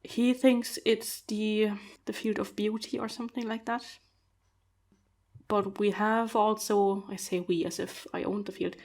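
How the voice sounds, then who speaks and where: A woman's voice: mid-range voice, little pitch movement, unhurried, darkish tone. A woman, not a native speaker of English, chatting on a video call in a thoughtful, hesitant way with many fillers. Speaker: podcast host. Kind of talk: casual conversation.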